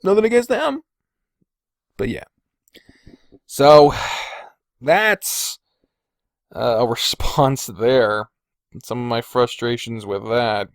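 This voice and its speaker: A man's voice: mid-range voice, animated, takes it slowly, pauses often, no echo, studio quality. A man talking casually as a podcast host, candid and rambling, hesitating and qualifying himself, with casual swearing. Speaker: podcast host and video creator.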